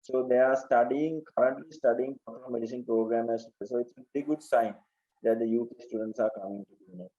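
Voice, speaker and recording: Mellow-voiced man giving an informal presentation. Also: mid-range voice, fairly even intonation, fast, muffled recording. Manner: explanatory, often checks with "right?". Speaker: education agent presenter.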